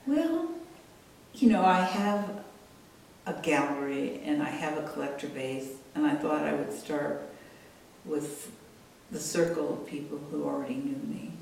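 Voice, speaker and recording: An American woman in her late sixties speaking as an author, measured, candid and thoughtful. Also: lively intonation, vocal fry, darkish tone. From United States. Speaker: author and artist being interviewed. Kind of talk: reflective interview talk and reading aloud from own book. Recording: roomy echo, distant mic, rumbly noise.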